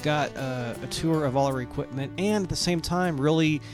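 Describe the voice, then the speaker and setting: A man hosting a podcast, giving a relaxed scripted sign-off and plugs. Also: on the high side, normal speed. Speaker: podcast host. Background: guitar music.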